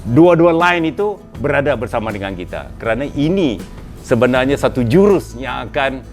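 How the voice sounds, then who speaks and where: A man with a Malay accent hosts a relaxed motivational talk about business to a small group indoors. His voice is mid-pitched and conversational, with frequent fillers and emphatic upward leaps. Faint music plays behind him. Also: normal speed. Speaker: host.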